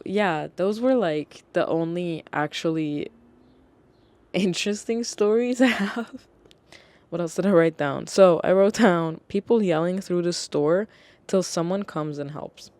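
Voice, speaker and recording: A young adult podcast host telling retail stories in a casual, animated way, swearing and acting out customers. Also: mid-range voice, no room sound.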